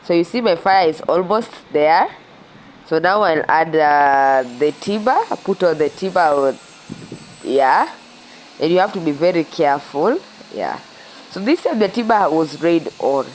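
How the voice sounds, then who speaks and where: A young Kenyan woman with a Kenyan accent narrating her outdoor cooking vlog in a relaxed, chatty way, a little stuffy from a cold. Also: deep voice, measured pace, a little hiss or hum, poor audio. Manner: relaxed and chatty, with frequent "yeah" and restarts. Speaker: cooking vlogger. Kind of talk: casual voice-over narration of a cooking video.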